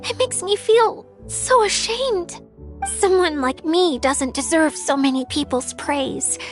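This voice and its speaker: A woman voicing a gentle, modest, wistful female guardian in a video game, speaking softly and earnestly. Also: very high voice, slow, soft background music. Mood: bashful, humbled. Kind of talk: English video game voice acting.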